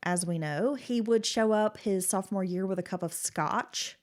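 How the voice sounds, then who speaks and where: A woman's voice: mid-range voice, normal speed, darkish tone. A woman co-hosting a casual true-crime podcast, her voice nasal and stuffy from a cold as she reads case details and chats. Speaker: podcast co-host.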